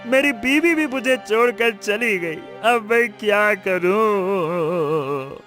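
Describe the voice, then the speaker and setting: A man acting a ruined gambler husband in an audio story, despairing, self-pitying and pleading in drawn-out laments. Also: very high voice, sharp tone, faint music behind, exaggerated voice. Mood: pleading misery.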